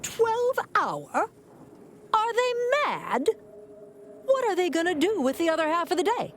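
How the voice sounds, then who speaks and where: Haughty female boss, wildly swooping pitch. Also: sing-song, measured pace, a little hiss or hum, heavily acted. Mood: indignant. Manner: pompous, theatrical, articulate. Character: haughty, greedy, penny-pinching female corporate plant boss. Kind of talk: video game dialogue.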